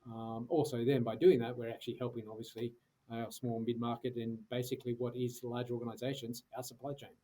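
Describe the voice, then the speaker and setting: A man's voice: medium pitch, flat delivery, fast, warm tone, rough recording. A middle-aged man, talking casually as a podcast guest, with many fillers and restarts. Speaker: guest, security executive.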